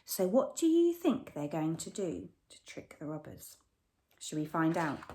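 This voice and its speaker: A woman teacher reading a rhyming picture book aloud to children, animated, sometimes dropping to a whisper. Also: low voice, measured pace.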